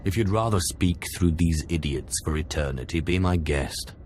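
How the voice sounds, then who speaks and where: Game villain: male actor as cold, commanding Sith lord, very low register, haughty, threatening, some background noise. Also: moderate pace, a little mellow, natural voice. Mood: cold menace. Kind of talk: video game voice acting.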